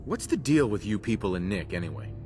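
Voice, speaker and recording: An actor playing a determined, polite survivor in a video game, speaking in an earnest, serious manner. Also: male voice, fairly low pitch, very animated, quick pace, over music. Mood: confronting. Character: determined, polite male survivor seeking his kidnapped son.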